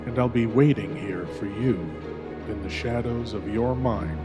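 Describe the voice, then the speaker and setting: A man hosting a horror show, narrating the story's moral and signing off in a brooding, measured, dramatic way. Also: mid-range voice, in a large hall, loud music behind the voice.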